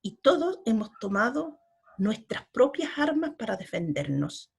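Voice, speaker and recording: A middle-aged woman from Chile, a poet being interviewed, speaking slowly and earnestly and often repeating her words. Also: fairly high pitch, very animated, gravelly, slightly rough. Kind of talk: reflective interview talk.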